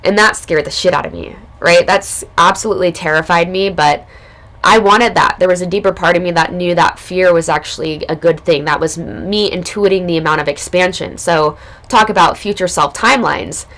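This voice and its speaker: Woman, raspy, hoarse, low voice, gravelly fry, casual spiritual storytelling. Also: normal speed, a bit bright. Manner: casual, loose, spiritual talk with many fillers. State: hoarse from recent illness. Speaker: podcast host. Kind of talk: reflective personal storytelling.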